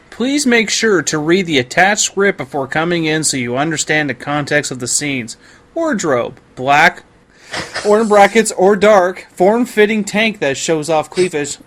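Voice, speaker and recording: A man's voice: on the high side, fairly bright tone. A man hosting a podcast with casual, irreverent banter and a blunt way of talking. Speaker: podcast host.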